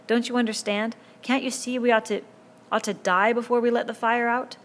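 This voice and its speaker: A woman's voice: on the high side, steady tone, fairly quick, fairly bright tone, slight background noise, voice pushed up, close to an everyday voice. A woman reading a novel aloud, voicing an earnest, commanding boy chief who pleads and insists as he lays down rules. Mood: urgent.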